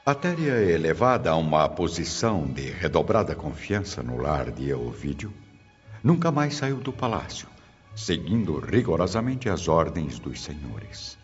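Narrator for radio drama: man, very low register, formal and dramatic, muffled recording over clear music. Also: very animated, a little slow. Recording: dull lo-fi audio with music behind.

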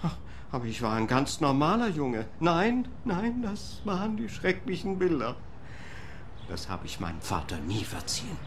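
A man's voice: a little high, big pitch swings, normal speed, a little hiss or hum. A man playing a fussy, querulous, self-pitying landlord in a radio play. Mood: bitter, aggrieved. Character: fussy, aggrieved male landlord obsessed with order.

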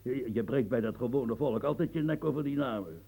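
An actor playing a proud, coarse old French marquis and marshal in a radio play, gruff and boastful, muttering one moment and shouting the next. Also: male voice, medium pitch, brisk. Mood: gloating. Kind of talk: radio drama acting.

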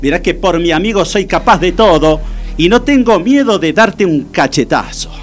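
An actor with an Argentine accent playing an excitable, blundering, fiercely loyal best friend, exclamatory and defensive in a comic radio drama. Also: male voice, high-pitched, normal speed, soft background music. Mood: indignant, defensive. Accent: Argentine Spanish.

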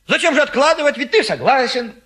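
A bossy, hot-blooded matchmaking friend in a classic Russian comedy. His high, bright voice swoops widely, with a husky edge. He cajoles and scolds with theatrical insistence. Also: lively intonation, fast talker, heavily acted. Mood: indignant, insistent. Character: pushy, excitable male friend bullying a bachelor into marriage.

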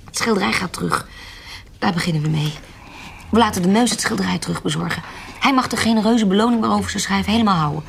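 An actress playing an anxious, scheming former baroness with second sight in a historical radio drama, grave and urgent. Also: female voice, mid-range voice, quick pace, gravelly, a little hiss or hum, close to an everyday voice.

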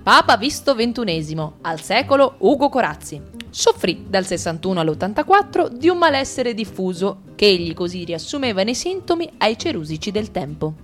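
A woman with an Italian accent reading a radio drama in a lively, ironic way. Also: medium pitch, normal speed, few pauses, soft background music.

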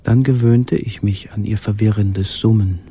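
An actor playing a lonely man hidden in a cellar, quietly obsessive, in a subdued, introspective radio play monologue. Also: fairly low pitch, steady tone, moderate pace. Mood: subdued, absorbed. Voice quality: husky, dark, muted. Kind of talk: radio play monologue, literary reading of a letter.

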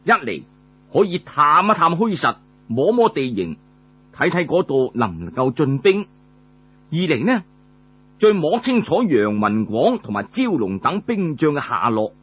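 A man with a Cantonese accent telling a story in the traditional oral style, colloquially, with drawn-out particles between phrases. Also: fairly high pitch, big pitch swings, slightly rough, lo-fi sound.

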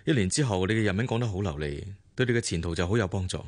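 An actor playing a wealthy businessman boss in a radio drama, calm and coolly dismissive, with a Hong Kong Cantonese accent. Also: low-pitched, rapid-fire.

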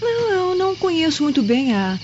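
A woman's voice: very high-pitched, very animated, brisk, muffled recording, background noise. An actress playing a deceitful Roman noblewoman in a Brazilian radio drama, sweetly over-explaining herself with a Brazilian accent. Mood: defensive. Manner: flustered, wheedling, over-explaining. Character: deceitful Roman noblewoman, defensive and sugary wife.

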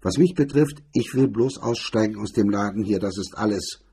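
Man voicing a husky, grim, blunt gunman. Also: on the low side, moderate pace, warm tone. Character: hard, grim male gunman, cynical and blunt.

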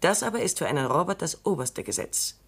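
A woman's voice: low-pitched, very animated, brisk, gravelly, a bit bright, slightly rough, exaggerated voice. A cool, precise lady scientist. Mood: lecturing. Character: cool, precise female robot psychologist. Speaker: robot psychologist Dr. Calvin. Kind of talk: radio drama acting.

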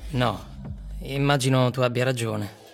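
A man's voice: medium pitch, steady tone, measured pace, vocal fry. Sardonic, weary man in a radio drama, over music. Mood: resigned. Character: John Ward, frustrated tenant in overcrowded dystopian city. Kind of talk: Italian radio drama acting.

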